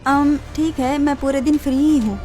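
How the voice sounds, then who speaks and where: An actress as a composed young woman in an audio drama. Her voice is high and her manner gentle and polite, with soft music in the background. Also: steady tone, normal speed, close to an everyday voice.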